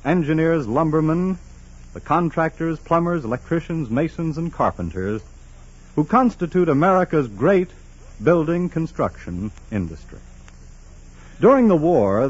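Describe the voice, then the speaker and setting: A man's voice: on the high side, darkish tone, husky. A man announcing for a sponsor in an emphatic, formal, polished 1940s radio style. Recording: muffled 1940s radio broadcast.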